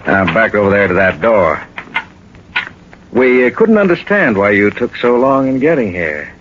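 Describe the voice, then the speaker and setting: A dull old radio recording carries a smug smuggler villain. His low voice swings expressively through sardonic courtesy and menace, in 1940s radio drama style. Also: big pitch swings, normal speed, a bit bright, a little hiss or hum. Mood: mocking. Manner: suave, sardonic, hesitating with mock politeness.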